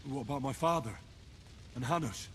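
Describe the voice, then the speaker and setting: A man's voice: medium pitch, normal speed, a bit bright, natural voice. An earnest, impassioned young man of the Middle Ages voiced by a male actor, hot-headed and bent on revenge. His mid-range voice carries dramatic game dialogue over faint background noise. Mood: worried.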